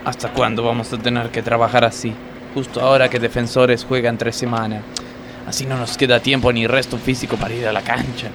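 An actor playing a resentful, sarcastic factory worker with an Argentine accent in a radio comedy sketch. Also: male voice, mid-range voice, flat delivery, brisk, noisy background, lightly acted. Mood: disgruntled. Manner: muttering sarcasm, grumbling.

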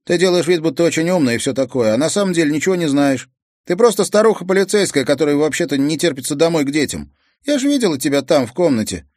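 A man voicing a sullen, defiant teenage girl who shouts back in shrill outbursts. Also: lively intonation, unhurried, pauses often, slightly rough, no echo, studio quality.

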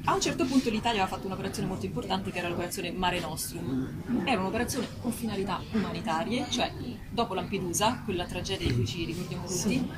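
A woman's voice: mid-range voice, fast talker. A woman speaking spontaneously as an aid worker, telling things vividly with rhetorical questions and quoted exchanges. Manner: animated storytelling with acted-out quotes and rhetorical questions. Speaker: humanitarian aid worker and spokesperson. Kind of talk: spontaneous explanation at a public talk. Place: public festival talk, noisy surroundings. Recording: very noisy live event recording, background ambience.

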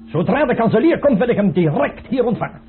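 An actor playing a suspicious, autocratic tsar, imperious and abrupt, in a radio play. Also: male voice, very high-pitched, sing-song, quick pace, faint music behind. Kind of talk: Dutch radio play acting. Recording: noisy, tinny old radio recording.

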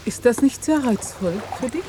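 An actress playing an inquisitive, spirited woman in a radio play, with a questioning, teasing, expressive manner. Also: on the high side, moderate pace, noisy background, natural voice. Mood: probing. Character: inquisitive woman, spirited old flame of a journalist.

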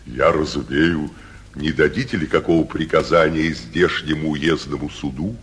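A man playing a timid, obsequious provincial judge in a classic Russian stage comedy for radio, halting and servile, muttering anxious asides. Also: very deep voice, steady tone, slightly rough. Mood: servile, nervous. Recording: muffled 1949 radio theatre recording.

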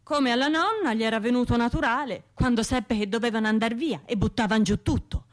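A woman's voice: a little high, close to an everyday voice. Wounded Tuscan woman, confessional as an actress plays her. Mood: wistful remembering. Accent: Tuscan Italian. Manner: halting, colloquial, shifting between murmurs and outbursts. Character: wounded, self-reproaching bombing survivor, woman, restless and confessional. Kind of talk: intimate radio drama monologue.

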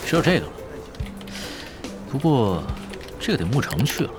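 A man's voice: mid-range voice, big pitch swings, normal speed, over music, natural voice. A man playing a composed, matter-of-fact gamer who leads and plans for his team in an audio drama. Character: composed, planning male gamer team leader. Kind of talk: Chinese audio drama acting.

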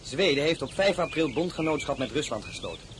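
Man acting a formal dutiful adjutant in a radio play, slightly high voice, faint noise behind. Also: animated, fairly quick, close to an everyday voice. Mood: formal, urgent. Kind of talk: Dutch radio drama acting.

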